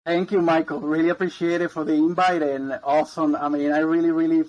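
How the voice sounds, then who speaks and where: A man's voice: on the high side, flat delivery, fairly quick, darkish tone, highs cut off. Man, rambling guest. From Puerto Rico. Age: 40s. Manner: rambling, repeats words, many ums and uhs. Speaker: guest, mortgage broker. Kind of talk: casual interview chat.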